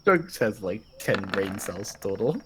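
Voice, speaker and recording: A man's voice: lowish voice, big pitch swings, unhurried, noisy background. A man joking casually and with amusement as a player in a tabletop game among friends.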